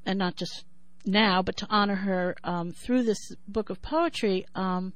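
A woman in her sixties with a New York accent who talks thoughtfully with many pauses and then reads her poem in a measured rhythm. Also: mid-range voice, no room sound. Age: 60s. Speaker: poet and writer. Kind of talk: interview and poetry reading.

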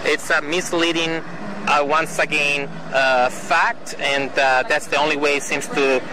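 A man giving an earnest, indignant first-person report outdoors at a harbor, halting and restarting as he talks. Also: a little high, level tone. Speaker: citizen filming a video clip. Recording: outdoor video with traffic noise.

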